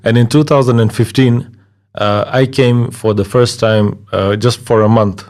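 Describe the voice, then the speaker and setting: A man from Kenya in his thirties, a DJ as guest, talking in a relaxed casual way with many pauses and fillers. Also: medium pitch. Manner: relaxed, hesitant with many fillers, laughs easily. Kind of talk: casual interview conversation.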